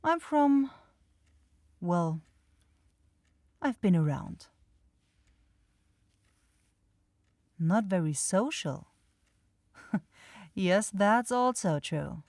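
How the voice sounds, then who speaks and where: Woman doing an aloof vampire girl asmr roleplay, slow n teasing, kinda sardonic, totally dry sound no room. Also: medium pitch, animated, a little mellow, no echo. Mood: wry, amused. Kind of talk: ASMR roleplay addressed to the listener.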